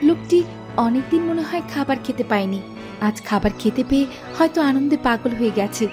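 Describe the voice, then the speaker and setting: Lady doing a kind stubborn village girl for a cartoon, high voice, earnest, loud music under it. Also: expressive, brisk. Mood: kindly musing. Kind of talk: Bengali cartoon fable voice acting.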